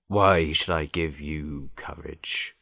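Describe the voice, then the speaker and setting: A man voicing a great and terrible wizard in a children's storybook, low, quiet, solemn and imperious. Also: fairly even intonation, takes it slowly, dry recording, clean studio sound, heavily acted. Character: great terrible wizard speaking as a ball of fire.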